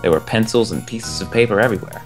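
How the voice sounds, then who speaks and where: A man's voice: lowish voice, quick pace. Young man reading a bedtime story. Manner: relaxed, chuckles at the text. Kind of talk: reading a children's book aloud. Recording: soft game music behind the voice.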